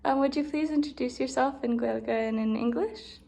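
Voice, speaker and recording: A woman's voice: sits high, moderate pace, vocal fry, warm tone. A woman hosting an interview, asking polite, encouraging questions. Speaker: interviewer, host.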